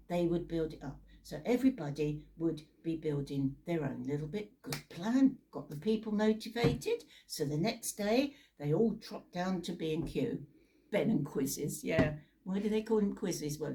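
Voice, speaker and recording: A woman's voice: on the low side, warm tone, a little hiss or hum. A British woman telling Bible stories in a chatty, informal way, with theatrical asides and rhetorical questions. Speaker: storyteller.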